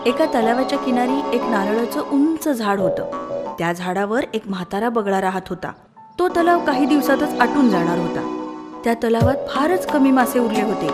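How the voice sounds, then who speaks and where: A woman narrating a scripted children's story as the narrator. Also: a little high. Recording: loud music under the voice.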